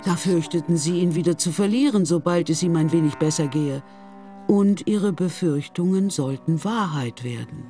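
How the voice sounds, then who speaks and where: An actor narrating a literary chivalric tale in a radio play, in a measured storytelling manner. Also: male voice, fairly high pitch, mellow, faint music behind, natural voice.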